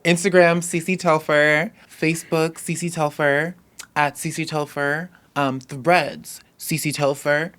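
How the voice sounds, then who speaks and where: A woman chatting casually as a podcast guest, talking in an animated, playful, emphatic way with casual slang. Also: deep voice, unhurried. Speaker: podcast guest, athlete and author. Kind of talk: casual chat.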